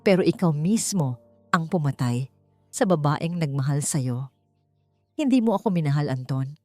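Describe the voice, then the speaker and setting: A woman acts a dignified wronged wife in an audio drama, firm and measured with feeling underneath. Her voice is on the low side, recorded dry over faint music. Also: animated, dark tone, no echo. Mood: hurt, firm. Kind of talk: Tagalog audio drama acting.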